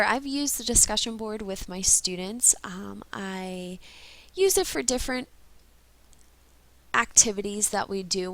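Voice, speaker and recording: Stuffy-nosed woman with a creaky voice. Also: mid-range voice, fairly bright tone, rough recording. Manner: patient, step-by-step explaining. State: stuffy, under the weather. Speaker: teacher leading staff training. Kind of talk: instructional screen-share walkthrough.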